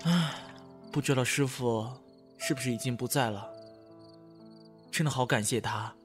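An actor playing a brash, devoted young time-traveller in a Chinese costume audio drama, boyish, expressive and easily flustered. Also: male voice, mid-range voice, moderate pace, music in the background, close to an everyday voice. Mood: wistful.

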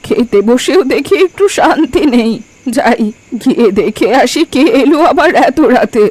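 A woman's voice: a little high, expressive, moderate pace, a little mellow. A woman voicing an old village granny in a cartoon, grumbling and musing to herself. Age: older. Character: old village granny living alone, fond of food, grumbling. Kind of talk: Bengali cartoon voice acting. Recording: music behind the voice.

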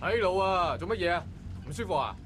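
A man's voice: high-pitched, expressive, quick pace, a bit bright, soft background music. A man playing a laid-back, blunt dock worker in a visual novel, with a Hong Kong Cantonese accent. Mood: casual.

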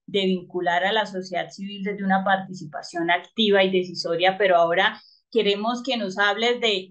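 A woman's voice: medium pitch, lo-fi sound. Woman moderating an online panel. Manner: formal, reads the introduction. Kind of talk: introducing a speaker at an online panel. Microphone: webcam on a video call.

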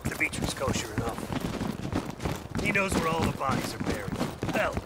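A man playing a gruff, cynical American special operations soldier in a video game, gravelly and slangy with a wisecracking streak. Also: a little high, lively intonation, a little slow. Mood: sardonic, focused. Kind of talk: video game dialogue, terse military banter. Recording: noisy game mix with gunfire and vehicles.

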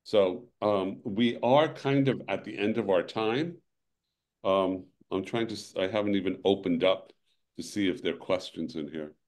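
An older man speaks from his home over a video call and hosts the session. His voice sits low, and his delivery is halting, full of restarts and a weary edge. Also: a little mellow. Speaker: webinar host. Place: home room, indoors.

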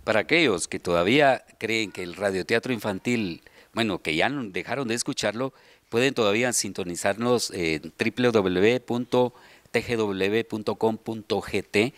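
Low-voiced middle-aged Guatemalan man. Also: normal speed, a bit bright, no room sound. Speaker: children's radio theater subdirector and voice announcer. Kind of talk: interview answers, explanatory talk. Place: large hall with seated group, indoors. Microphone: handheld interview microphone.

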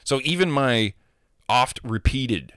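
A man in his thirties with a deep voice, ranting casually and profanely into a handheld mic held close, full of opinions. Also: lively intonation, slow, a bit bright, no echo. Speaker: gamer vlogger. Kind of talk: casual, ranting monologue to camera. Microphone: handheld microphone held close to the mouth.

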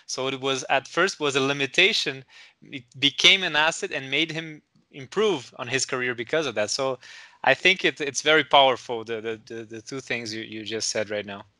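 A man's voice: medium pitch, moderate pace, pushed and bright, no room sound. A man in his thirties with a Brazilian accent, hosting over a video call on a headset, talking hesitantly with many restarts. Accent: Brazilian Portuguese. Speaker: host. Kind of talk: casual interview chat.

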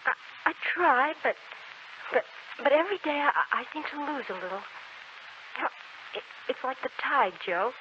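An actress in a 1940s American radio drama playing a frail, gentle dying young woman, speaking haltingly and wistfully with sweet resignation. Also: high voice, expressive. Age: young adult. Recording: muffled, very noisy 1940s radio recording.

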